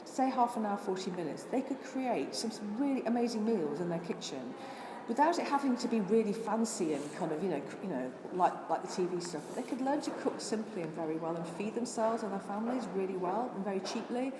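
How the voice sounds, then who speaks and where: A woman's voice: medium pitch, expressive, a little fast, few pauses. An older woman from Scotland who has lost her accent, answering interview questions in an earnest, chatty way and listing things off with many restarts. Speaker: interviewee, vegan charity worker. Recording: echoey room with noise.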